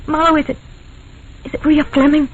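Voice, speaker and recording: An actress playing a shy, genteel, fretful young wife with a hesitant delivery, in 1940s radio style. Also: female voice, high voice, little pitch movement, normal speed, natural voice. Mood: distressed. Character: delicate, shy, anxious young society wife. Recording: dull, hissy old radio broadcast.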